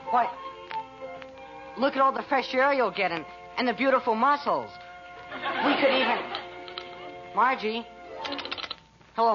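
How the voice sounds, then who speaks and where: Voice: medium pitch, close to an everyday voice. An actor playing a whiny, earnest teenage boy, a put-upon older brother in a radio comedy. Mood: earnest, coaxing. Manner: whiny, pleading. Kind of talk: old radio comedy acting. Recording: dull old radio recording.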